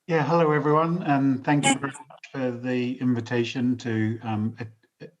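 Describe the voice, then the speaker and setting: Man, panelist, monotone, muffled lo-fi audio, faint background hiss. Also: medium pitch. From New Zealand. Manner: measured, frequent ums. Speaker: panelist, luxury trains executive. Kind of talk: online panel introduction. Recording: dull lo-fi line with faint hiss.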